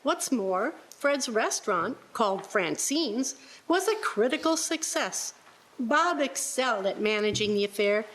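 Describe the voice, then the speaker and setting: A woman's voice: fairly high pitch, a bit bright, rough recording. A woman reading scripted story narration for a live radio play.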